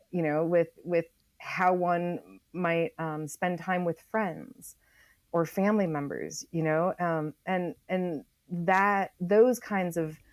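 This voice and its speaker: A woman's voice: on the low side, a little mellow, no echo. A woman speaking as a guest author in a thoughtful podcast interview, often repeating words as she forms her thoughts.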